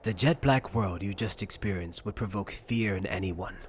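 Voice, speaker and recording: A man voices a solemn anime warrior captain in a low register. His delivery is grave, formal and measured, like a philosopher in arms. Faint noise sits behind him. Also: close to an everyday voice. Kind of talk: anime fan dub acting.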